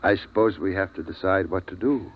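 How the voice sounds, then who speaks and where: A man's voice: sits low, husky. A grave, weary radio father. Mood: subdued, reluctant. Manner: grave, measured, halting. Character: weary, reassuring father of a survivor family. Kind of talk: 1950s radio drama acting. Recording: tinny 1950s radio recording.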